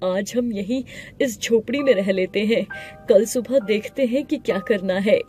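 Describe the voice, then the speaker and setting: Woman playing a mellow-voiced humble poor husband. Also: mid-range voice, a little slow, lightly acted. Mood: gentle, reassuring. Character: humble poor husband, adult man, pleading and reassuring. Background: background music.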